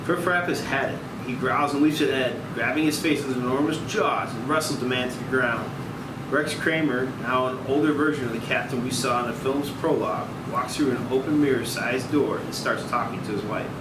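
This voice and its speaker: A man's voice: medium pitch, brisk. A man reading stage directions aloud at a table read, in scripted narration. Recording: echoey room, distant mic, loud hum.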